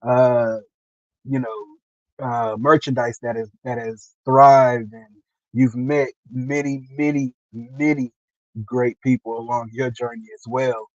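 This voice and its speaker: A man hosting a casual interview over a video call, earnest and halting, often repeating himself. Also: mid-range voice, level tone, dry recording. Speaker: host interviewer. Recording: dull lo-fi stream audio.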